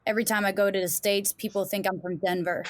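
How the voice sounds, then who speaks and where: A woman's voice: medium pitch, level tone, quick pace, dry recording, clean studio sound. A woman from Denmark with a Danish accent, a musician guest on a podcast, talking casually with lots of fillers and restarts. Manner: rambling with many fillers and restarts. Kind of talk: casual chat.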